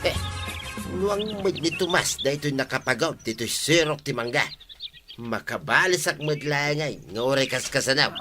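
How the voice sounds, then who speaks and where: A man's voice: medium pitch, animated, normal speed, natural voice. A man with an Ilocano accent playing a stubborn, vengeful village farmer who grumbles, in a radio comedy drama. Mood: scheming. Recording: music behind the voice.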